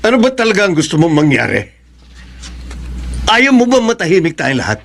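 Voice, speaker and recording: A man's voice: high-pitched, very animated, fairly quick, gravelly, a bit bright, full character voice. An actor playing a stern, exasperated man of the house in a Filipino radio soap, scolding firmly with a Filipino accent. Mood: stern, threatening. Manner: scolding, firm, confrontational.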